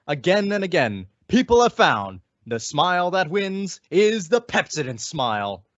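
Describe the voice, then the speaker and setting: A man's voice: sits high, no room sound. A man as a 1940s-style radio announcer, reading scripted copy with emphatic, polished sales delivery. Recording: dull lo-fi audio.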